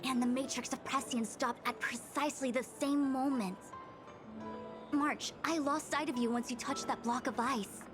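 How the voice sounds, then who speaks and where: A woman's voice: high-pitched, fairly even intonation, moderate pace, lightly acted. A woman playing a composed, authoritative diviner in a video game, speaking formally and precisely. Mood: analytical. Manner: formal, matter-of-fact, precise. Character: composed, authoritative young female master diviner. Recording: faint game music behind.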